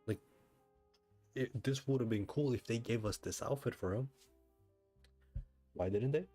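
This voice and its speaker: A man chatting casually as he livestreams a game, thinking out loud and often asking himself questions. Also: medium pitch, a little slow, faint music behind. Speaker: livestreamer, gamer. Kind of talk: casual livestream chat while playing a game.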